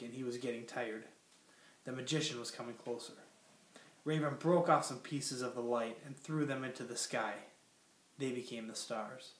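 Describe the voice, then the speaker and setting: A young man from Minnesota with a cold, teaching a classroom lesson and reading a story aloud in a plain, teacherly way with many fillers. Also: medium pitch. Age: young adult. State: has a cold. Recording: roomy sound from far off.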